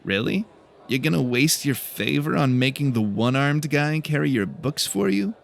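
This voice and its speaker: A man's voice: mid-range voice, expressive, normal speed, creaky, voice pushed up. A man plays a young male student in a fantasy audiobook, earnest and a little wry. He speaks plainly, with a self-deprecating manner. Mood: teasing. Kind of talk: audiobook character dialogue.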